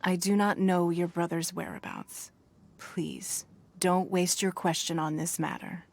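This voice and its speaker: A woman voicing a shrewd, wealthy female city leader who speaks in a measured, authoritative, formal way. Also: on the low side, fairly even intonation, creaky. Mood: calm. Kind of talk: game cutscene voice acting.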